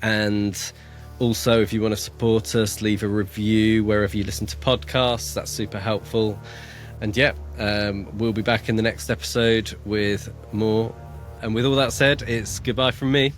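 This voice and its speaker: Low-voiced man chatting on a podcast. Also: level tone, normal speed, faint music behind. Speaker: podcast co-host. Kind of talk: casual book discussion.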